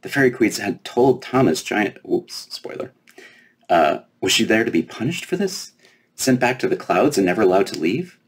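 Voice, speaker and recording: A man with a low voice reads a children's story aloud as its author. The tone is a little husky, and the delivery is expressive storybook narration. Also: normal speed. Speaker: author reading from own book.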